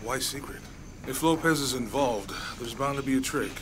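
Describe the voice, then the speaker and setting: Casting: male actor for easygoing game sidekick, plain-spoken, middle pitch, clear background noise. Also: normal speed, natural voice. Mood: casual, wry. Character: easygoing male adventuring partner, practical and supportive. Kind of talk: naturalistic video game dialogue. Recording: noisy game ambience behind voice.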